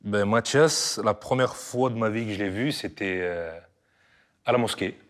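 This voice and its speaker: A man's voice: lowish voice, normal speed. A man talking about an old friend in a casual interview, chatty and full of fillers. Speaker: childhood friend.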